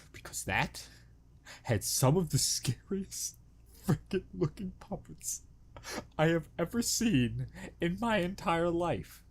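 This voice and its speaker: A man's voice: on the high side, a bit bright. A man giving casual, rambling reaction commentary with jokey, crude humour and exaggerated dread. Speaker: reaction video host.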